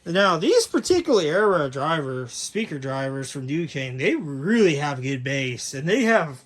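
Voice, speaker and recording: A man's voice: on the high side, sing-song, a little slow, fairly bright tone. A man giving a casual, unscripted overview as a hobbyist video host, rambling and correcting himself as he goes.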